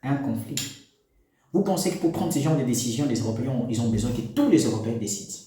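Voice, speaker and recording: A man's voice: mid-range voice, animated, fast talker, mellow, slight background noise. Preachy man in echoey room. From DR Congo. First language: Congolese national language. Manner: preachy, exhorting, full of rhetorical questions. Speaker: commentator addressing parents. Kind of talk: opinion monologue to camera.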